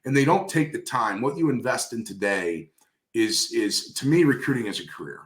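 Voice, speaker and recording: A man's voice: mid-range voice, animated, normal speed. A man speaking conversationally in an industry interview, coaching emphatically and repeating key phrases for stress. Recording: roomy, echoey sound.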